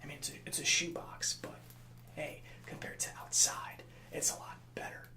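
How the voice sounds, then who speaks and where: A man's voice: moderate pace, bright, forceful tone. A man acts out a mild, easily wounded right-hand man to a post-apocalyptic gang boss. He whispers and murmurs in ASMR roleplay style, stumbling over his words as he placates. The sound is roomy, with some echo around the voice. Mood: reassuring, explanatory. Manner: hesitant, stammering, mild-mannered, placating. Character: nice, easily hurt male assistant to a post-apocalyptic gang leader.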